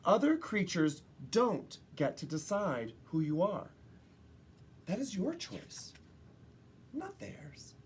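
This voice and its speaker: A woman voicing a gentle, encouraging manatee mother in a storybook, reassuring her child with emphasis. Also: lowish voice, sing-song, takes it slowly, long pauses, slight background noise, pitched above the performer's own voice.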